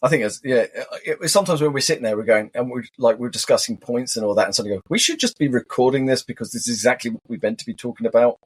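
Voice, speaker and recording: A man co-hosting a podcast at home who talks loud and projects like an animated storyteller. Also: medium pitch, racing, few pauses, husky. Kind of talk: casual chat. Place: living room sofa at home. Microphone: shared desk microphone.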